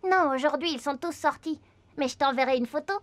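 A woman's voice: high voice, a little fast, close to an everyday voice. A woman voicing a cautious, friendly young male meerkat who explains things earnestly in a preschool cartoon. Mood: friendly, proud.

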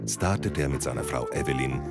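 A man narrates a documentary from a script in an extremely deep voice. His intonation moves widely, and loud music plays underneath. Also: expressive, brisk.

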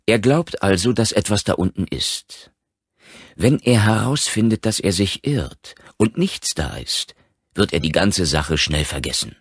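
A man playing an impatient, authoritative doctor who speaks briskly in an audiobook. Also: low voice, animated, slightly rough, no room sound, clean studio sound, voice pushed up, exaggerated voice. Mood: firm. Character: confident, impatient male small-town doctor.

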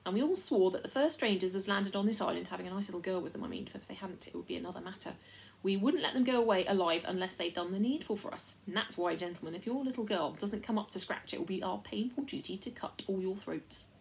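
A woman's voice: mid-range voice, fast talker, creaky, slight background noise, natural voice, rough recording. A woman voicing the bumbling, long-winded male chief of an invisible folk in an audiobook, speaking in a rustic, folksy way. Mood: wheedling. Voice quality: rustic, rambling, folksy speech.

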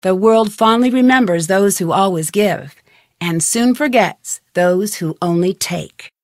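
A woman's voice: mid-range voice, measured pace, dry recording, clean studio sound, lightly acted. An actress playing a young female detective, narrating in a composed, articulate storytelling way for a video game. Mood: composed, reflective. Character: young woman detective narrating an epilogue letter.

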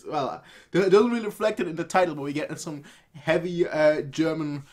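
A man's voice: on the high side, brisk. Rambling young man, casual reaction host. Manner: rambling, repeats phrases, animated when reacting. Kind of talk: casual unscripted reaction commentary. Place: small home room indoors.

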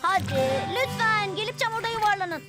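A woman's voice: very high-pitched, expressive, normal speed. A woman voicing an encouraging, slightly bossy young child in a preschool cartoon, speaking emphatically and coaxingly. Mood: coaxing, excited. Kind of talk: animated preschool cartoon dubbing. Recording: children's music behind the voice.

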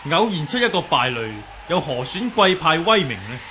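An actor playing a polite, confident young martial arts hero with a Hong Kong Cantonese accent in a 1980s radio drama. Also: male voice, on the high side, very animated, moderate pace. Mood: calm resolve. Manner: polite, earnest. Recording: noisy old radio drama recording.

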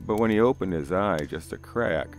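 A man's voice: low-pitched, lively intonation, moderate pace. A middle-aged man narrating a first-person spooky story in a conversational, folksy way. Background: music.

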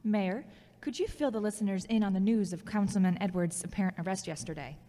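A woman's voice: mid-range voice, a little fast, warm tone, close to an everyday voice. A woman playing a polite, formal radio interviewer in an amateur audio drama. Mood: polite, businesslike. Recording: reverberant hall-like sound.

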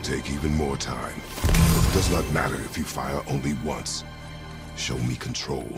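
Very deep, gravelly warrior father. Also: fairly even intonation, over loud music. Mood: stern, restrained. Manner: terse, measured, formal phrasing without contractions. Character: stern, stoic warrior father, gruff and commanding. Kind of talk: video game character acting.

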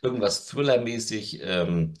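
Low-pitched middle-aged man, wry and chatty. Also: lively intonation, unhurried. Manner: wry, joking. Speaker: co-host of an audio drama news show. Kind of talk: casual chat. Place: room at home.

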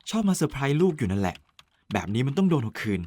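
A man's voice: mid-range voice, very animated, racing, darkish tone. A man playing a scheming, playful grown-up elder son in an audio drama, casual and cajoling. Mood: mischievous. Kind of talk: Thai audio drama acting.

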